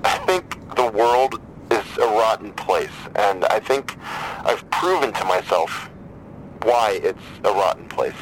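A man acting in an audio drama as a troubled, despairing caller, speaking in a halting, brooding way with stammers and trailing phrases. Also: on the low side, a little hiss or hum, low-quality recording. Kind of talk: full-cast audio drama dialogue.

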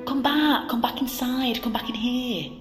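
Audio drama inner demon: female, manipulative flatterer, deliberate and lowish, echoing reverb, music underneath. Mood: sly coaxing. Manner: mock-polite, coaxing, deliberate. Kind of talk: scripted audio drama acting. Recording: echoey reverberant hall, music behind.

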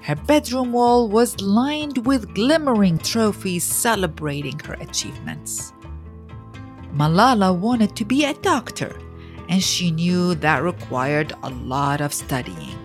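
Woman, children's story podcast host, slow deliberate delivery, animated intonation, dramatic pauses, scripted narration. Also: mid-range voice, faint music behind.

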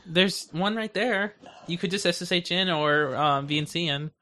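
A man's voice: high-pitched, fairly even intonation, normal speed, sharp tone. A man co-hosting a podcast in casual banter with a dry, sardonic manner. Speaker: podcast host.

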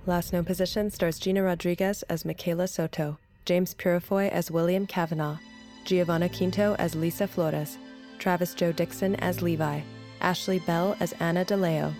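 A woman announcer reading scripted credits in a measured, list-like way. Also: fairly low pitch, fairly even intonation, a little mellow. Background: music.

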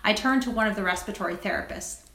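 A woman speaking as a guest doctor and writer, articulate and measured, in an interview and reading from her own essay. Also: medium pitch, fairly bright tone. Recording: roomy, echoing sound.

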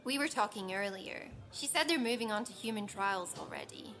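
A woman's voice: medium pitch, lively intonation, moderate pace, a bit bright, faint music behind, lightly acted. A woman playing a young scientist and dutiful daughter in a naturalistic audio drama, earnest and a little hesitant. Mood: conversational. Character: young female agronomist, dutiful daughter, lonely survivor.

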